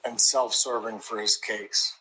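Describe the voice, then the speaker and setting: A man's voice: fairly low pitch, fairly even intonation, unhurried, pushed and bright, a little hiss or hum, rough recording. A man giving blunt, emphatic casual reaction commentary on true crime.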